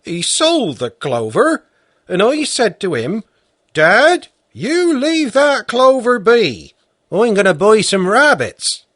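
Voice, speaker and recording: A man's voice: high voice, huge pitch swings, slow pace, fairly bright tone, breathy edge, no echo, studio quality, pitched above the performer's own voice, heavily acted. A man voicing a worn-out peasant farmer who stammers and mumbles in plain rustic speech. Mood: bewildered.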